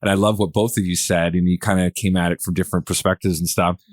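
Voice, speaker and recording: Lowish-voiced man hosting, rambling casually. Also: little pitch movement, quick pace, no echo, clean studio sound. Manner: rambling, repeats words while forming questions. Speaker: podcast host. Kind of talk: casual conversational interviewing.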